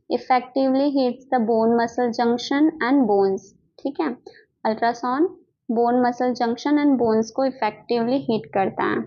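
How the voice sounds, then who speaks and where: A woman's voice: fairly high pitch, warm tone. A woman with an Indian accent teaching a lesson, reading out notes and explaining them step by step, often repeating herself with little check-in tags. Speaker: physiotherapy teacher. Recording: dull lo-fi microphone sound.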